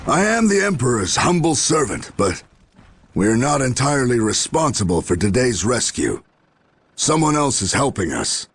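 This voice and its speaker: An actor playing a gruff, proud human military general in a video game, speaking formally and sternly. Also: male voice, mid-range voice, sing-song, moderate pace, no room sound. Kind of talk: dramatic video game voice acting. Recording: music under the voice.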